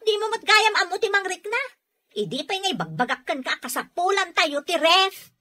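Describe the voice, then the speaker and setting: An actress playing a nagging, complaining wife in an Ilocano radio drama, with a Filipino accent. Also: female voice, very high voice, big pitch swings, normal speed, bright, forceful tone, heavily acted. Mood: nagging, annoyed.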